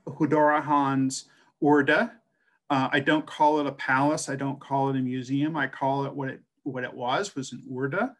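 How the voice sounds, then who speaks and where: A man's voice: a little high, fairly bright tone. A middle-aged man from the American West speaks into a webcam on a video call. He talks in an earnest, storytelling way, with many uh fillers and restarts. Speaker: translator and scholar giving a talk. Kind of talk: personal presentation on a video call.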